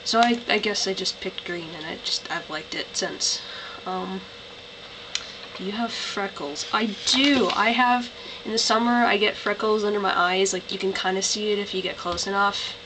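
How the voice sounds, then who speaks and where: A young woman with a Canadian accent talks casually to her webcam, her voice a little lower from tiredness. She rambles and fills pauses with "like" and "um". There is clear background noise in the recording. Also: fairly even intonation. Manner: chatty, rambling, lots of "like" and "um". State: tired, voice lower than usual. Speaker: vlogger. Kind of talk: casual accent-tag vlog. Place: bedroom. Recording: noisy home webcam recording.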